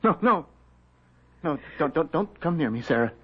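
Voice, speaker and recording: An actor playing an anguished, guilt-ridden man in a radio drama, speaking in halting, repeated, broken phrases. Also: on the high side, expressive, breathy edge. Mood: anguished, ashamed. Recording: dull vintage radio recording.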